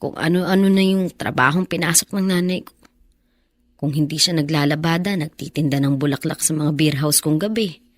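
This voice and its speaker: A woman playing a gentle, polite and earnest young daughter who narrates her hard life in a radio drama. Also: deep voice, normal speed, no echo. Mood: worried. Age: young adult.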